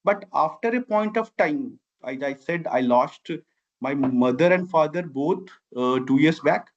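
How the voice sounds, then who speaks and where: An Indian man in his forties with a Bihari accent, Maithili his first language. His voice sits a little high, and he answers at length in an earnest, winding way, stacking up "I have" phrases. The recording sounds dull and lo-fi. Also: lively intonation, measured pace. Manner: earnest, long winding sentences with repeated "I have". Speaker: guest, civil engineer and founder. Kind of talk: interview answers.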